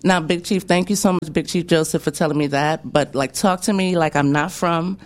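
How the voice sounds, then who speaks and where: A woman's voice: deep voice, steady tone, a little fast. A woman hosting a radio music show, chatty and enthusiastic as she talks with her guests. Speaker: radio host. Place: radio studio.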